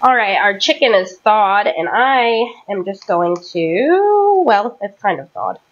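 A woman's voice: mid-range voice, sing-song, slow pace, lo-fi sound. Chatty woman cooking in her kitchen. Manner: chatty, rambling, self-correcting asides. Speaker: home cooking vlogger. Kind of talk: casual chat while cooking. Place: home kitchen, indoors.

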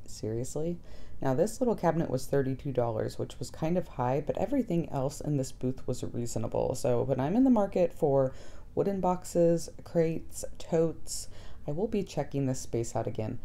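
A woman's voice: low voice, expressive, moderate pace. A woman narrating a casual shopping vlog as its host, in a chatty, folksy way. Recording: soft background music. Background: music.

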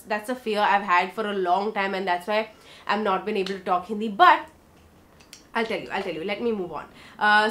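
A young woman from India with a South Indian accent, chatting casually through a makeup tutorial in her bedroom and slipping in Hindi. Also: mid-range voice. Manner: chatty and warm, mixing English with Hindi. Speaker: beauty vlogger. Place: bedroom, indoors.

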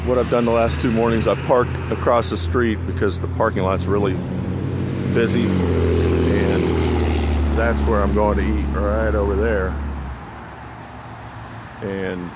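Guy with a lowish voice rambling casually on a phone vlog, out on a sidewalk, clear road noise. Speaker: vlogger. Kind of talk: casual walking vlog narration. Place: outdoors on a sidewalk beside a busy road. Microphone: handheld phone. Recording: outdoor phone recording with traffic noise. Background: passing cars, street ambience.

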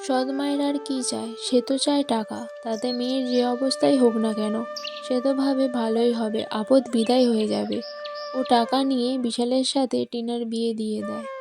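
A woman's voice: a little high, steady tone, a little fast, gravelly, music in the background. A woman reading scripted storytelling narration for a cartoon moral tale.

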